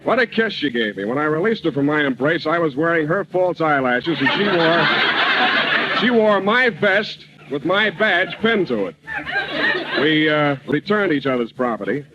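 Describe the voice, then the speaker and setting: Cocky gumshoe, deadpan comedy narration with lively swoops, noisy vintage radio broadcast. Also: male voice, a little high, highs cut off. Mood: smooth-talking. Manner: deadpan, halting tough-guy narration. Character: wisecracking hard-boiled male private eye, deadpan, cocky. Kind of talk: old-time radio detective parody.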